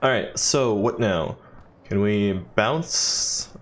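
A man's voice: on the low side, slow. A young man giving casual, joking let's play commentary as a gaming YouTuber. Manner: wry, joking.

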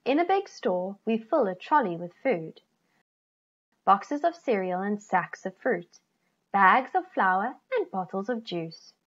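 A middle-aged woman reading a children's picture book aloud, expressively, with deliberate phrasing and stressed key words. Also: mid-range voice, big pitch swings, a bit bright, husky. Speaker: children's story reader. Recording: slightly dull, lo-fi computer recording.

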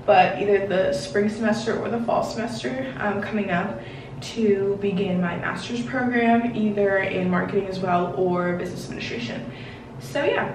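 A woman of twenty-one chatting casually and rambling with many fillers while she gets ready in a bathroom, on a camera a few feet away. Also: mid-range voice. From Washington, D.C. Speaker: beauty vlogger. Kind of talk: casual get-ready-with-me chat. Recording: roomy bathroom echo, mic at a distance, noisy.

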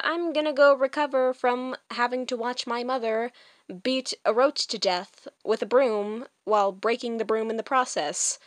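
A woman's voice: a little high, no room sound. A woman hosting a fan comic dub channel, chatting casually in a self-conscious, awkward and informal way. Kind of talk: casual, chatty video intro and outro.

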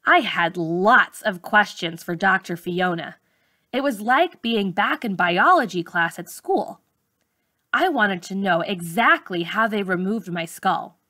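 A woman reading a memoir aloud like an audiobook narrator, with measured, clearly phrased delivery. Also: mid-range voice, lively intonation, bright, forceful tone, dry recording, studio quality. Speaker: teacher reading a book aloud.

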